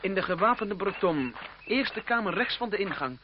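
Earnest polite young gent, formal, radio play, high voice, muffled old sound. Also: male voice, animated, normal speed. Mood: reproving. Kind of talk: Dutch radio play acting. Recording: dull, noisy old radio play recording.

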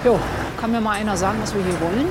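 An actress playing a brash, sardonic police detective, blunt and colloquial in a German audio drama. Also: female voice, mid-range voice, fast talker, noisy background, lightly acted. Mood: dry, impatient. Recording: music behind the voice.

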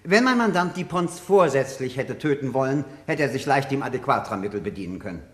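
Ein Schauspieler spielt in einem Gerichtshörspiel einen wortgewandten, entrüsteten Verteidiger mit rednerischem Nachdruck. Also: male voice, mid-range voice, animated, a little fast, close to an everyday voice. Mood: emphatic pleading. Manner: oratorical, emphatic. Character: eloquent, indignant male defence lawyer. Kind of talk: German radio courtroom drama acting. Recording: reverberant courtroom-like room sound.

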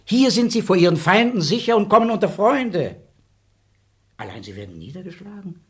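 An actor playing a grateful, wealthy baron and father, effusive and formal, with a husky voice. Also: high voice, very animated, moderate pace, exaggerated voice. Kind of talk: radio play acting.

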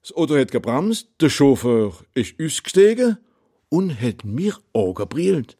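A man's voice: mid-range voice, big pitch swings, a little slow, dark tone, husky. An older man from Alsace with an Alsatian accent, telling anecdotes as an interview guest. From Munster valley, Alsace. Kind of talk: anecdotal storytelling.

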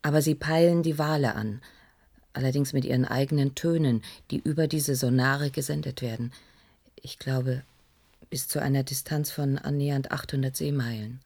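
An actress playing a weary, shaken researcher in a German radio play, hesitant and earnest, with a breathy, husky voice. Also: female voice, a bass voice, steady tone, moderate pace, a little mellow, full character voice. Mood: subdued, explanatory. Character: weary, shaken female researcher recounting a disaster.

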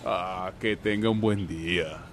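A man's voice: deep voice, takes it slowly. A man playing a nervous, deferential company gate guard in a radio drama. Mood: polite, flustered. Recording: outdoor ambience, faint noise.

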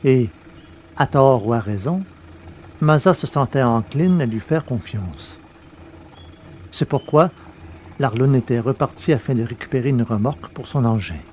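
A man hosting a podcast and reading a novel aloud in a measured, deliberate way. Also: medium pitch, expressive, darkish tone, slight background noise. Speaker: podcast host and narrator. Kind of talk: scripted audiobook narration.

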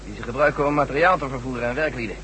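Man acting a fast-talking, commanding general. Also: mid-range voice, pushed and bright, close to an everyday voice. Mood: dry, calm. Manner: brisk, commanding, drily teasing. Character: brisk commanding male general, cool-headed and dry. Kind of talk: Dutch science-fiction radio drama acting. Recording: noisy hissy old radio recording.

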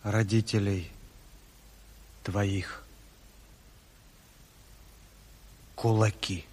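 An actor playing a blunt, loyal, salty old-school sailor in a radio drama, talking folksy and colloquial. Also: male voice, lowish voice, fairly even intonation, slow, darkish tone, slightly rough. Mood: subdued. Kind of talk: theatrical radio drama acting. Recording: reverberant 1970s radio play.

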